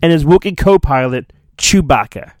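An animated, higher-voiced man does a mock-dramatic storybook reading for his podcast. He swings between theatrical narration and rambling, sarcastic asides, his pitch moving a lot. The recording is close and dry. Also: normal speed, no echo. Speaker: podcast host.

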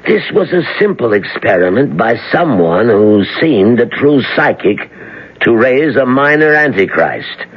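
A pompous Satanist professor in a radio horror play. He speaks slowly and theatrically, with wide swoops of pitch and a husky edge. The recording has a dull old broadcast sound. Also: very animated. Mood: lecturing, reproachful. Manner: pedantic, deliberate, theatrical. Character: pompous, sinister male philosophy professor, master Satanist. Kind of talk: radio drama acting.

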